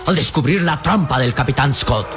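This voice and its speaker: A man's voice: medium pitch, expressive, moderate pace, loud music behind the voice. A man narrating a radio serial in a dramatic, suspenseful, declamatory manner.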